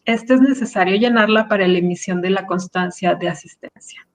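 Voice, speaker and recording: A woman's voice: medium pitch, a little fast. A woman presenting a webinar, reading formal procedural announcements before handing over to the speaker.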